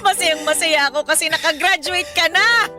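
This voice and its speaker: A woman's voice: very high voice, moderate pace, over music. An actress with a Filipino accent playing a proud, humble, hardworking mother in a radio drama, effusive and emotional. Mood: overjoyed.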